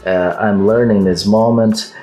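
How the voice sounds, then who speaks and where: A man from Brazil leads a software tutorial in English, his Brazilian Portuguese accent plain and his sentences broken up by frequent fillers. His voice is slightly low and he is recorded on a headset in an echoey room, with soft music behind him. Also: steady tone. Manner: halting non-native English with many fillers. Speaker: tech tutorial host.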